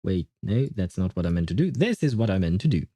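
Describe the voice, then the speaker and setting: A man's voice: low-pitched, big pitch swings, fast, dark tone, no room sound. A man hosting a casual gaming livestream, rambling and interrupting himself as he chats and grumbles playfully with viewers. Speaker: livestream host, gaming streamer.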